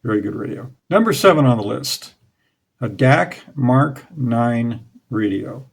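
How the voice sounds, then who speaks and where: A man's voice: medium pitch, expressive, unhurried, gravelly, husky. A man in his forties, hosting his own hobby channel, talks casually to the camera in a small room through a lapel mic, plain-spoken and nostalgic, with a few fillers. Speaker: radio hobby channel host. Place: small room indoors in front of curtains. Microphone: clip-on lapel mic.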